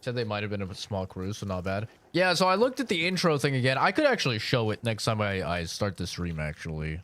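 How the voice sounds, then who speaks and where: Man with a raspy morning voice. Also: lowish voice, big pitch swings, fairly quick, a bit bright. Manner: rambling, filler-heavy, says "like" and "man" often. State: raspy, deeper morning voice after waking. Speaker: streamer. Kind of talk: casual gameplay livestream commentary.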